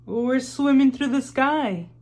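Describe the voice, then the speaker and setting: A reader playing an excitable high-voiced little kid. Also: slow pace. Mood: thrilled. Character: eager, excitable young grandchildren on an adventure. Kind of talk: children's storybook character voice.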